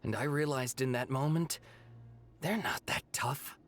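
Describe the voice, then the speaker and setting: Man playing a reflective young fighter, medium pitch. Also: unhurried, fairly bright tone, natural voice. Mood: reflective, regretful. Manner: reflective, earnest. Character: humble regretful young male fighter finding courage. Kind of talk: video game voice acting.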